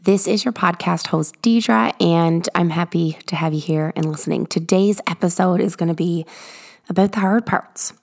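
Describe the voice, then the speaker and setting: A woman hosting a motivational podcast, her voice hoarse and raspy from illness, talking earnestly and encouragingly from her garage. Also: lowish voice. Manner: earnest, encouraging, drawn-out emphasis. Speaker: podcast host.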